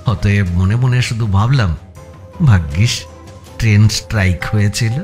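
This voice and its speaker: A man playing a curious first-person narrator in a thriller audiobook, telling it with expressive suspense. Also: fairly low pitch, a little slow, over music, close to an everyday voice. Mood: reflective.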